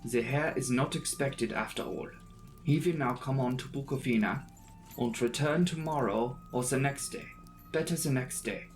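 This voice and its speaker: A man voicing a grim, gruff coach driver in a dramatic audiobook reading, speaking emphatically. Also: medium pitch, huge pitch swings, normal speed, soft background music. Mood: insistent.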